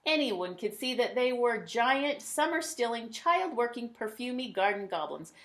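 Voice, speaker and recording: A woman reading a children's picture book aloud, with dramatic emphasis and drawn-out words. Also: a little high, very animated, a little hiss or hum. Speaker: read-aloud storyteller.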